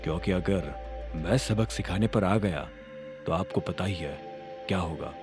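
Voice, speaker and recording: A man voicing a calm, authoritative, quietly threatening boss in an audio drama. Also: sits low, measured pace, over music, pitched below the performer's own voice. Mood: quiet menace. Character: calm, commanding, menacing male boss figure.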